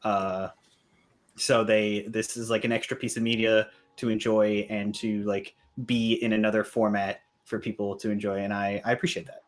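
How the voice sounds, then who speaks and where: A man's voice: lowish voice, level tone, moderate pace. A man talking casually as a panelist in a fan discussion podcast.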